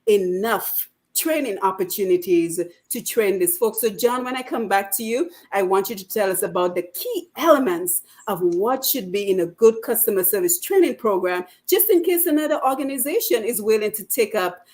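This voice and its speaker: A woman's voice: mid-range voice, lively intonation, normal speed, few pauses, darkish tone. A woman hosting a radio talk show, with a voice in the middle of the usual range. She poses questions to her guests, reads out viewer comments, and passes the floor from one guest to the next.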